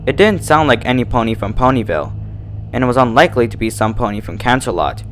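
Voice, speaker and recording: A man narrates a scripted horror tale as a storyteller. His delivery is animated, at a middling pitch, with faint noise behind him. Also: quick pace. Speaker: fan fiction narrator.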